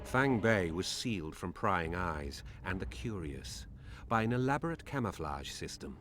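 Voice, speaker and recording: A man's voice: low-pitched, very animated, measured pace, a bit bright, full character voice. A man playing a solemn storyteller, reading dramatic scripted narration for a fantasy video game. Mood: hushed storytelling.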